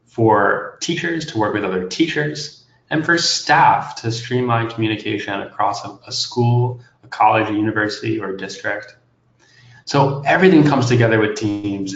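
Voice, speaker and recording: An enthusiastic man with a slightly low voice presents in a webinar. He sounds like he is in a roomy space with echo. The audio is muffled, as if it came over a remote call. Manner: upbeat, enthusiastic. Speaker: product manager.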